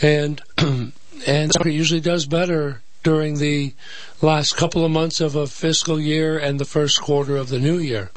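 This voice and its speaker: Slightly hoarse man explaining finance. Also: fairly high pitch, measured pace, no echo, rough recording. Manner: explanatory, steady. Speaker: financial advisor guest. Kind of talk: radio interview. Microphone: studio microphone.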